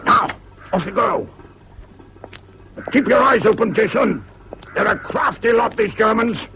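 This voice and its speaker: An actor playing a deluded old-school English General with a clipped, barking military manner in a radio drama. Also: male voice, sits high, animated, a little slow, gravelly, bright, forceful tone, heavily acted. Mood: urgent, conspiratorial. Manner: clipped, barking, repeats himself. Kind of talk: British radio drama acting. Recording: dull, hissy old radio recording.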